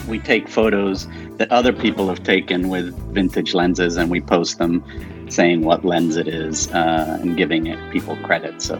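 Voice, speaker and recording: A man's voice: low voice, little pitch movement, moderate pace, music in the background. A middle-aged man from Latin America speaking as a podcast guest, telling his story in a casual, informal, rambling way. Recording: dull, muffled band-limited audio.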